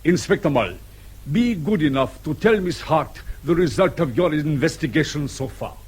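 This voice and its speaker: An actor playing a suave, sceptical police colonel in a radio drama, formal, precise and sarcastic. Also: male voice, a little high, animated, normal speed, darkish tone, breathy edge. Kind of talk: British radio drama acting.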